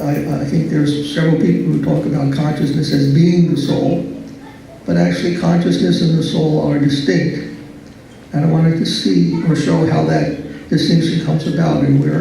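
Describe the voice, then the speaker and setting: A soft-spoken man giving a philosophy lecture in a slow, hesitant way, full of fillers and restarts. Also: on the high side, vocal fry, slight background noise. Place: large echoey lecture hall. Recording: echoey hall, speaker far from mic.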